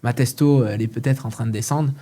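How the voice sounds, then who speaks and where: A relaxed podcast host speaks in a husky, low-key voice. He chats loosely and keeps dropping quick agreements while the other speaker talks. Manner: relaxed, conversational, frequent short agreements. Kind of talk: casual podcast discussion.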